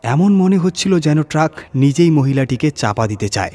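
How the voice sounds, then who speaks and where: A man's voice: fairly high pitch, lively intonation, moderate pace, darkish tone. A man reading a scripted first-person horror story as its narrator. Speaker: story reader. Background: background music.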